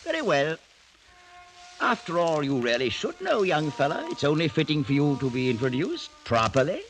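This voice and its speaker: A man's voice: on the high side, wildly swooping pitch, moderate pace, soft background music. An actor playing a menacing, sly old French nobleman in a theatrical, mock-courteous radio style. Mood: mocking, gleeful. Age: older. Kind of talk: 1940s-50s radio acting. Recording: noisy vintage radio drama recording.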